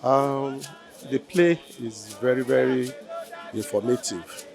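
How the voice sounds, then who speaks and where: A man's voice: medium pitch, slow pace, creaky, crowd noise. A man speaking as a parent in the audience, giving an impromptu testimonial and repeating his phrases. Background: music.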